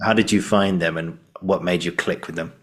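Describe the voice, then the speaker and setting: Male podcast host, deep pitch. Conversational, rambling, self-correcting. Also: steady tone, a little fast, a bit bright. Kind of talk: casual interview chat.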